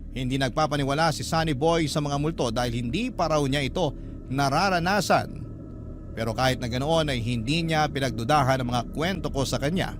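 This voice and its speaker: Casting: Filipino male narrator, slightly high voice, scripted radio drama storytelling with clear background music.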